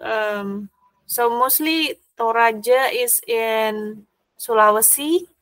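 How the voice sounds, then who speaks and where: Soft-spoken Indonesian-accented woman tutor. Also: on the high side, extremely slow, no echo, poor audio. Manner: gentle, hesitant English with Indonesian fillers. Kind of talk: online language lesson. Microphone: laptop video call.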